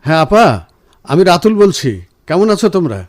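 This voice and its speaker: A man playing a caring, reassuring husband in a radio drama, speaking in an earnest, measured way. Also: sits high, very animated, no echo, studio quality. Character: caring, reassuring husband, senior professional, firm but gentle. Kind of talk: Bengali radio drama acting.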